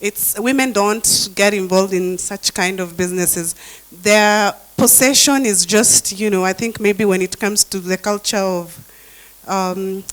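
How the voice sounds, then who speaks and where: Woman, translator, video call, hesitant, lots of ums, middle pitch. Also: measured pace, low-quality recording. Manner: hesitant, many fillers, soft-spoken. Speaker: workshop participant, translator. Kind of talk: casual discussion on a video call.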